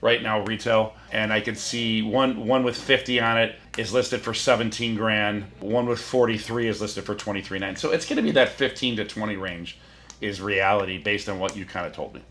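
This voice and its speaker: A middle-aged man from the area, a car buyer negotiating over the phone in a brisk, upbeat salesman manner. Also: lowish voice, fairly even intonation, fairly bright tone. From Chicago area, USA.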